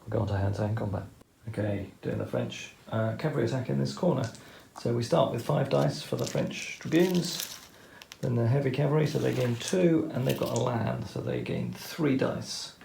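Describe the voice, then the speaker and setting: A man's voice: mid-range voice, moderate pace, gravelly, darkish tone, echoey room, slight background noise. A man methodically explaining each step of a tabletop wargame turn, talking through the rules as he plays. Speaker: wargame player explaining turns. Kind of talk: tabletop game commentary.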